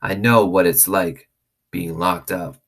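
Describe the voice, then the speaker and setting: A shy, gentle pet shop man with a past in jail, read out by a male storyteller. He speaks slowly and bashfully, in a low, husky storybook voice. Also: steady tone, heavily acted. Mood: bashful, subdued.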